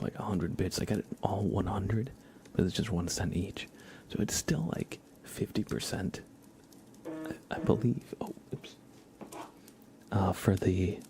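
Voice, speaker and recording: A man hosts an ASMR livestream, chatting casually and gently in a hushed whisper, close to the microphone. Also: low-pitched, slight background noise. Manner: casual, friendly, thanking viewers. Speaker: livestream host. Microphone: close microphone with compression and limiter.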